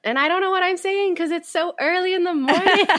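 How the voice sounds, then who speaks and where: A woman's voice: very high voice, a bit bright. Young female podcast host, bubbly, laughs often. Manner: bubbly, giggly, quick to laugh. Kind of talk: casual podcast chat.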